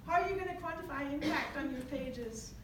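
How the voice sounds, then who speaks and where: A woman from Jamaica giving a lively, chatty workshop talk to a room, laughing often as she tells stories. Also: high-pitched, brisk. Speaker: workshop presenter. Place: large echoey meeting room. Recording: echoey room from far off, noisy.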